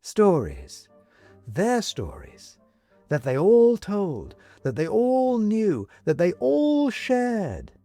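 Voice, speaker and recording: A man reading scripted story narration as an audiobook narrator, expressive and measured. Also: high-pitched, no echo, faint music behind.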